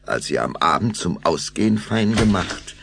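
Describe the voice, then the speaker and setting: A deep-voiced man narrates a children's audio drama. His gravelly voice moves expressively through the story. Faint noise lies behind him. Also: very animated, normal speed. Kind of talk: scripted narration of a children's radio play.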